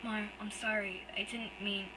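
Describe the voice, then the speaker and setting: A woman's voice: mid-range voice, level tone, a little slow, pushed and bright, far from the mic, a little hiss or hum, rough recording. A woman voicing a troubled young furry male full of remorse. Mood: hushed remorse.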